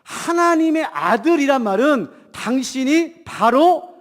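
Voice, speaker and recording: A man preaching a church sermon as pastor with a cold, emphatic, swinging from loud declarations to hushed asides and putting questions to the congregation. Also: very high voice, lively intonation, slow. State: has a cold. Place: church hall during a service. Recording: long hall reverb in church.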